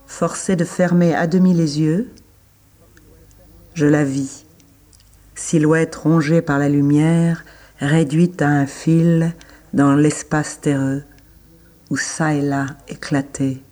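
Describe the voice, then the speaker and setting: A man giving a scripted literary reading for an audio drama, measured, pausing between short phrases. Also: fairly high pitch, flat delivery, mellow. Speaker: literary reader.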